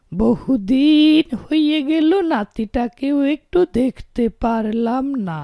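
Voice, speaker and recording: A kindly yet stern old man, a village landlord in a folk cartoon , acted out by a woman. The voice is high and creaky, and he speaks slowly with doting, deliberate care. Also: warm tone, dry recording, studio quality, exaggerated voice. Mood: wistful. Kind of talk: cartoon character acting.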